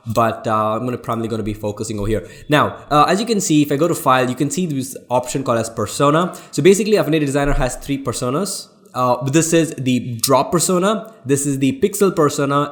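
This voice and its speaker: Indian-accented man hosting a tutorial. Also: medium pitch. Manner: informal, addresses viewers as guys, explains step by step. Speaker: tutorial host, designer. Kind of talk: casual screen-recorded software tutorial.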